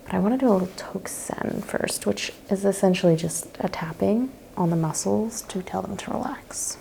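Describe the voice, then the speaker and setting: Soft, creaky-voiced woman doing gentle ASMR. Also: medium pitch, slight background noise. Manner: gentle, unhurried, reassuring. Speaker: ASMR host role-playing a chiropractor. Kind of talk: ASMR role-play, soft-spoken with whispering.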